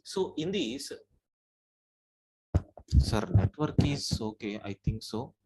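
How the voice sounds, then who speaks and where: A man's voice: mid-range voice, very animated, takes it slowly, dark tone, breathy edge, rough recording. A man teaching an online programming class. He walks through each step and keeps calling his listeners "sir". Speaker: programming instructor. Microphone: own mic at a computer, online class.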